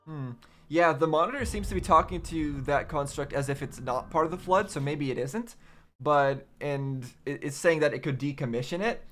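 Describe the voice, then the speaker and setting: A man's voice: on the high side, normal speed, fairly bright tone. A man hosting a reaction video, giving casual game commentary as he questions and puzzles things out aloud.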